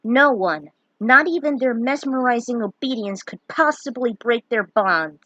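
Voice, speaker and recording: A woman's voice: fairly high pitch, expressive, a little slow, sharp tone, no echo, lo-fi sound. A woman reading a fan fiction story aloud, dramatic in her telling and given to opinionated asides. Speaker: narrator.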